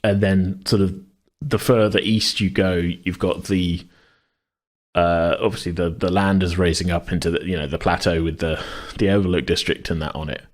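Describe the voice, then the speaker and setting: A man co-hosting a podcast in a casual worldbuilding chat, rambling as he thinks out loud. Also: deep voice, fairly even intonation, moderate pace. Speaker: podcast co-host.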